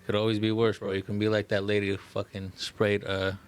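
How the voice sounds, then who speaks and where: A man's voice: lowish voice, completely flat delivery, a little fast, a little hiss or hum. A young man co-hosting a podcast, telling stories animatedly in slang and swearing freely. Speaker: podcast co-host. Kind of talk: casual chat.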